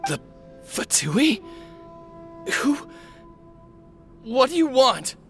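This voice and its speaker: A defiant young man facing his end, with a gravelly, strained edge. He speaks slowly at a middle pitch. Faint game music runs under the scene. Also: sing-song, heavily acted. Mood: outraged. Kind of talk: game cutscene voice acting.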